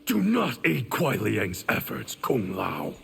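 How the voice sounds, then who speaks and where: Stern warrior, deep fry in the voice, unhurried, game dialogue. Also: male voice, wildly swooping pitch, a bit bright, soft background music, heavily acted. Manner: cold, stern, measured. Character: cold ambitious male clan warrior, stern. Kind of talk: video game character voice acting.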